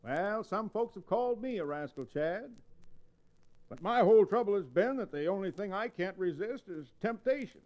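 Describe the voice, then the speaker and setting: Western radio sidekick: high-pitched, very animated, fussy old boozer with florid, theatrical delivery. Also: male voice, highs cut off. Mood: wry, theatrical. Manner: florid, theatrical, drawn-out exclamations. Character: fussy, hard-drinking old western sidekick, flowery talker. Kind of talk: 1940s radio western acting.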